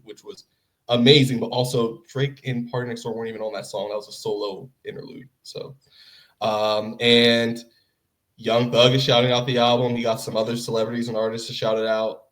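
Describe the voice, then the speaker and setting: A man's voice: mid-range voice, fairly even intonation, a little fast. A man podcasting in casual chat, blunt and slangy, with a joking manner. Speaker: podcast host.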